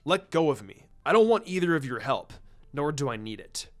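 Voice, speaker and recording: A man's voice: on the high side, big pitch swings, moderate pace, a bit bright, pitched below the performer's own voice. Man acting out young ninja rival, storybook reading, surly, proud, stubborn, curt, dismissive.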